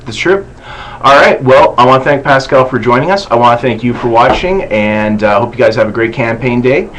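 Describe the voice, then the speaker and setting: A man hosting a casual campaign video chat, relaxed and self-deprecating, with lots of fillers. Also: medium pitch, brisk, a little hiss or hum, low-quality recording. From Canada. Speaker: host.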